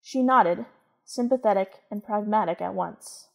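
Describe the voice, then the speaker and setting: A woman narrating a scripted story in a measured, literary manner. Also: medium pitch, vocal fry.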